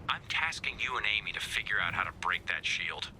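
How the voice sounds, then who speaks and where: An actor playing a dry, mildly exasperated scientist in charge, in a scripted video game. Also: male voice, sits low, normal speed, slight background noise, full character voice. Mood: wry, businesslike. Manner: wry, deadpan, mildly exasperated. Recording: loud music under the voice.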